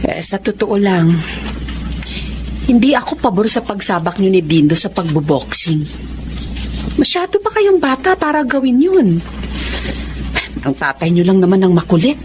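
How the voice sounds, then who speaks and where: A caring mother voiced by an actress speaks at a middle pitch, coaxing gently with worry for her child. It is radio drama acting over noisy ambience. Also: very animated, moderate pace, long pauses, lightly acted. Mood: worried, resigned. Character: caring, worried mother of a young boxer.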